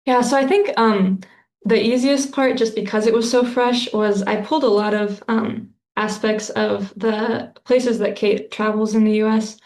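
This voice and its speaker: A woman talks through her writing process in a flat, level voice with a gravelly fry. She pauses often and fills the gaps with um and like. The room around her sounds echoey. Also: a little high. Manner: thoughtful, many fillers and restarts. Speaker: interviewed author. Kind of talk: casual interview answers.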